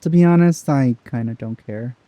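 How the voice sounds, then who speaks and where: A man's voice: mid-range voice, moderate pace, dark tone, no echo, dull audio. A man giving casual let's play commentary over a video game.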